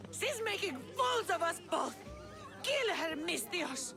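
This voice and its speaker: A woman's voice: extremely high pitch, very animated, slow, crowd noise, exaggerated voice. An actress playing a hysterical, vengeful, wronged woman in a video game. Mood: furious. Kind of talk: video game cutscene acting. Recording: music behind the voice.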